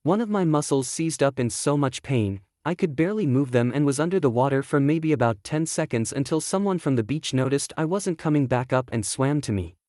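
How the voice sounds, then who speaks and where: A man's voice: mid-range voice, expressive, a little fast, few pauses, a little mellow, no echo, clean studio sound. A man reading scripted first-person story narration as the author of a fantasy audiobook.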